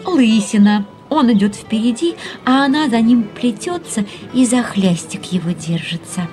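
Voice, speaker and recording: A woman's voice: a little high, animated, normal speed, darkish tone, faint music behind, natural voice. An actress in an audio drama playing a loving, wistful, girlishly dreamy wife who coaxes tenderly in short phrases.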